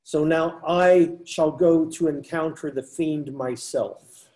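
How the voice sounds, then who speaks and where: Older guy as a bold pious friar, slow talker, slightly high voice, storybook play. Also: a little mellow. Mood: determined. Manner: declamatory, archaic storybook speech. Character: younger devout friar, bold and stubborn. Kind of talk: amateur readers theater acting. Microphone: computer mic on a video call.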